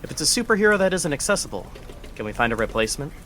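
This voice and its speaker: An actor playing a brisk boss running a staff meeting in an audio drama. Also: male voice, medium pitch, sing-song, sharp tone, slight background noise. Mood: brisk, businesslike.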